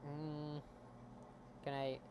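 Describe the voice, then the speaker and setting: A man's voice: completely flat delivery, extremely slow. Man muttering through code on stream. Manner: muttering, trails off into soft asides. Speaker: game dev streamer. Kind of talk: thinking aloud while coding on stream. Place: home room. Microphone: desktop mic. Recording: faint background noise, low home mic.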